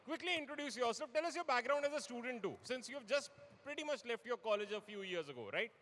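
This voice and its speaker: A man's voice: extremely high pitch, expressive, fast talker, sharp tone, a little hiss or hum. A man in his forties with an Indian accent, moderating a live panel with teasing, self-deprecating humour. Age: 40s. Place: large indoor hall with audience. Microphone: event microphone. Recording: echoey hall, live event PA.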